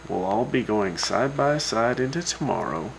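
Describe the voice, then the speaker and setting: A man playing a laid-back, folksy host of a post-apocalyptic wasteland radio show, giving news in a casual, unhurried way. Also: mid-range voice, expressive, vocal fry, heavy background noise. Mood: musing.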